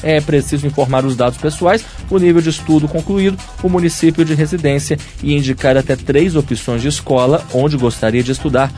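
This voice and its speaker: A man presenting a radio news bulletin, reading a script clearly and steadily. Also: a little high, fairly quick, hardly any pauses, darkish tone, soft background music.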